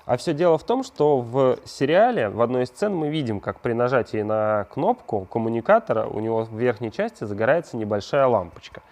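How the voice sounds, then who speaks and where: A man's voice: medium pitch, lively intonation, fairly quick, hardly any pauses, a little mellow. Man explaining a hands-on craft build. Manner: explanatory, conversational, poses questions to the viewer. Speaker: craft channel host. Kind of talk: hands-on prop-making tutorial narration.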